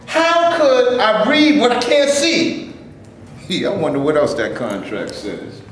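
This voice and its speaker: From far off in a very echoey room comes a man playing a defiant film actor in a staged play reading. He is mocking and exclamatory, his high voice swinging widely in pitch. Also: sing-song, slow pace, full character voice. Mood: shocked, aggrieved. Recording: echoey live room, distant camera, noisy.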